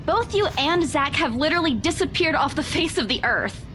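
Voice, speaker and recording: A woman's voice: high-pitched, expressive, normal speed, music in the background. A woman playing an exasperated, sarcastic young real estate agent in a visual novel, bantering emphatically. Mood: reproachful.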